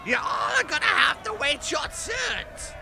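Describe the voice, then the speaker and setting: Brash old crowd-wrangler, played by a man: strained shout, wildly swooping pitch, crowd behind. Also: animated, unhurried, creaky, exaggerated voice. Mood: brash, resigned.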